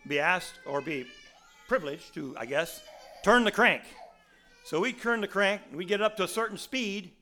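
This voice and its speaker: Older guy preaching, raspy, kinda high and sharp sounding, rambly folksy stories. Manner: folksy storytelling, many restarts. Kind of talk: sermon.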